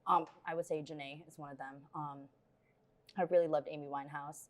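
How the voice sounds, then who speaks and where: Casting: young singer from the Philippines, low warm-toned voice, friendly, hesitant, lots of ums. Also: female voice, steady tone, fairly quick. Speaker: singer, interview guest. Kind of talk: casual interview answers.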